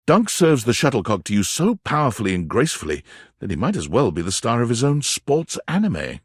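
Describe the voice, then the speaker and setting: A man playing a wry, theatrical narrator of a playful dating game, telling scenes in the second person with expressive, dramatic delivery. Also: medium pitch, husky, dry recording, studio quality.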